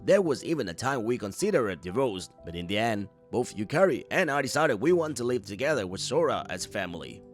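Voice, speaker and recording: Man as earnest young dad, reassuring, animated mid-range voice, manga dub dialogue, music behind. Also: mid-range voice, fairly quick, hardly any pauses, lightly acted. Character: earnest, reassuring adult son and young father. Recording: background music under the voice.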